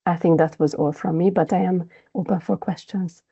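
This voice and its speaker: A woman researcher wrapping up a project presentation and answering questions, halting with non-native phrasing. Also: lowish voice, fairly even intonation, vocal fry, warm tone, husky, lo-fi sound. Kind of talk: presentation closing and Q&A.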